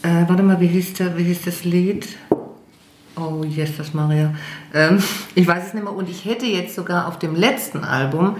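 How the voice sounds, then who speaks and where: A woman's voice: fairly low pitch, moderate pace, a little hiss or hum. A German woman singer, chatting in an interview, hesitant and self-deprecating with many fillers. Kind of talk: casual interview chat. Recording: echoey room.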